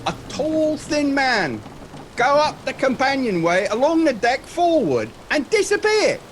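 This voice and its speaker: A very high-pitched, frightened sailor. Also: male voice, very animated, unhurried, full character voice. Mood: fearful. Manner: hesitant, uneasy. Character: frightened, superstitious sailor, the ship's mate. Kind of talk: horror radio drama acting. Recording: clear rain and ambient noise behind.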